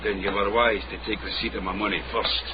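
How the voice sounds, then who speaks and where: An actor playing a dry, shrewd Scottish investigator of the eighteenth century in a radio drama. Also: male voice, on the low side, little pitch movement, brisk, lightly acted. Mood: firm, dry. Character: dry, shrewd caddy turned investigator in 1790s Edinburgh. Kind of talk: period radio drama acting. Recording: muffled radio drama, loud background noise.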